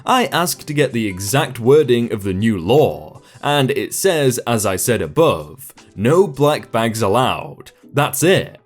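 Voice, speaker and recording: A man narrates online stories with a lively, swinging delivery, reading each post like a told tale. His pitch sits in a middle range but moves around a lot. Soft music plays faintly underneath. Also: slow pace, few pauses. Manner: lively storytelling reading with short comments between stories. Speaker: narrator reading reddit posts. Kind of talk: scripted story narration.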